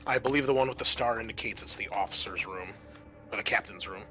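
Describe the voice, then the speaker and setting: A man acting as game master, describing scenes in a conversational, improvised, halting way for a tabletop roleplaying game. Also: lowish voice, pushed and bright, soft background music.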